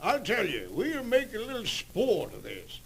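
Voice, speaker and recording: An actor playing a kindly, jovial old ranch owner in an old-time radio western, with folksy, halting talk. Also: male voice, high-pitched, wildly swooping pitch, vocal fry, slight background noise, full character voice. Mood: blustering, amused.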